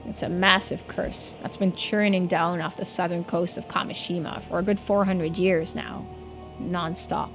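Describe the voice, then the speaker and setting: Woman voicing a creaky, folksy islander storyteller. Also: on the low side, steady tone, moderate pace, fairly bright tone, soft background music. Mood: grave. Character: folksy, earnest islander recounting old island secrets. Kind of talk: voiced video game dialogue playthrough.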